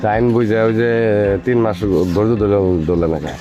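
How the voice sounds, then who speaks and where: A man's voice: on the low side, a little fast, muffled recording. A man questioning people informally on the spot, probing and lecturing as he goes. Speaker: questioner interrogating a couple. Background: clear music.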